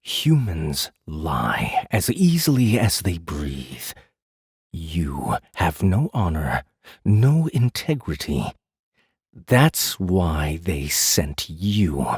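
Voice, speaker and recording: A weary fae captor from a fantasy audiobook drama. His speech is very slow and swings widely in pitch, with a husky, breathy grain. He sounds brooding and disdainful, recorded dry and close. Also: very animated, no room sound, heavily acted. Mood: accusing, disdainful. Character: weary, haughty male fae jailer in human guise.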